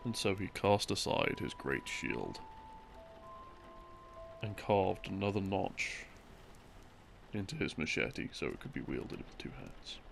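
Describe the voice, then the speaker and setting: A man playing an easygoing boyfriend who tells rambling bedtime game lore in a casual, unhurried, conversational way. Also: deep voice, very animated, gravelly, heavily acted. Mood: relaxed storytelling. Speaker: audio roleplay performer. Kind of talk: rambling bedtime storytelling, sleep-aid roleplay audio. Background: background music.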